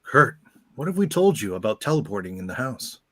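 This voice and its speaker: A man's voice: medium pitch, sing-song, a bit bright. A man playing a composed, authoritative professor leading a team of heroes in a radio play. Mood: stern. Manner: measured, authoritative.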